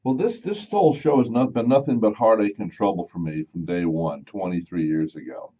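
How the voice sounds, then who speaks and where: A man's voice: on the low side, a little fast, mellow. A man hosting a morning radio talk show, telling rambling stories and joking. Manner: rambling, jokey, acts out quotes of other people. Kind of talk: chatty radio talk, storytelling. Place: radio studio. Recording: slightly muffled radio broadcast.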